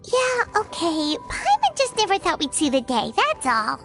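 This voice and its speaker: Super squeaky little game fairy, excitable, swoops everywhere, music behind. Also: female voice, a little slow, heavily acted. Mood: gleeful, teasing. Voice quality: squeaky, childlike. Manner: exclamatory, speaks in third person. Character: tiny excitable childlike flying fairy companion. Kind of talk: video game voice acting.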